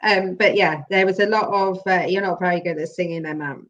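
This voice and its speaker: Woman hosting an interview, bright voice, unhurried, chatty, a bit lo-fi. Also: on the low side, fairly even intonation. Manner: chatty and encouraging, laughs often. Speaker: podcast host. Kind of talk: casual interview.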